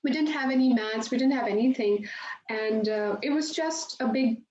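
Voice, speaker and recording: A bright, higher-pitched woman tells stories in a chatty way, laughing easily as she talks. She is on a video call from her home yoga studio. The room sounds echoey, and the audio is dull with faint hiss underneath. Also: moderate pace. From India. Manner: chatty and warm, laughs often while telling stories. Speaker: yoga and meditation instructor, guest. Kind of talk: casual interview storytelling.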